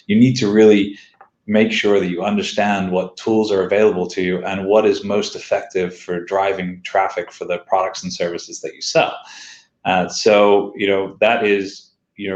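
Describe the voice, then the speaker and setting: A man who is a company executive, talking business casually in a halting way and repeating words as he thinks, over a video call. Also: sits low. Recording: roomy, dull video call audio.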